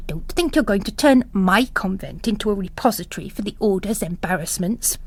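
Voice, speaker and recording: A woman voicing a bossy, gossipy older mother superior with a brisk, scornful and emphatic manner, in a story reading. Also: mid-range voice, expressive, slightly rough. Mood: indignant, scornful.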